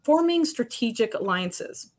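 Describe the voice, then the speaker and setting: A woman's voice: on the high side, very animated, unhurried. A woman guest on a construction podcast, with a commanding voice, speaking emphatically and laughing lightly between points. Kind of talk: podcast interview answers.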